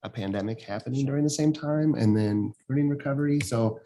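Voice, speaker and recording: Male board member, rapid and informal, working through questions aloud at a public meeting. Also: mid-range voice, warm tone, slight background noise. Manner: informal, thinking aloud, peppered with "like". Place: school board meeting room.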